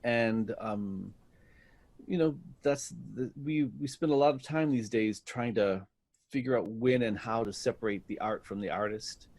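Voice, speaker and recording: A middle-aged man talking casually as an actor being interviewed, telling stories and often pausing to search for words. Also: lowish voice, darkish tone, no echo.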